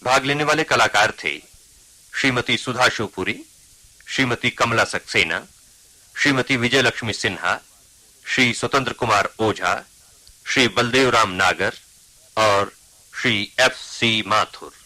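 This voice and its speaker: A man working as a radio announcer, reading scripted credits in a measured, formal manner. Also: mid-range voice, pushed and bright, low-quality recording.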